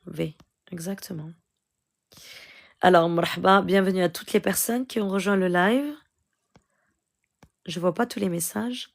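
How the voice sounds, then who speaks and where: A woman's voice: on the low side, steady tone, unhurried, vocal fry, long pauses. A middle-aged woman with a Moroccan accent, hosting a live stream and advising viewers, peppering her talk with "euh" and "d'accord?" asides. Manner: code-switching, frequent "euh" and "d'accord?" asides.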